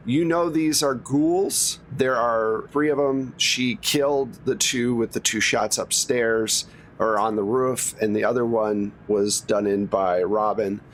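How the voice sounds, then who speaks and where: Male game master, mid-pitched and informal, narrating tabletop play over faint noise and rumble. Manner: conversational, informal.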